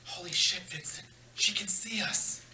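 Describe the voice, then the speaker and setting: Man playing a campy, bright-voiced excitable ghost. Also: high voice, unhurried, in a whisper, exaggerated voice. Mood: earnest. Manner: campy, expressive. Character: campy, earnest, excitable male ghost guardian angel. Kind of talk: comedy script table read. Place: large carpeted meeting room. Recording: echoey meeting room, noticeable hiss.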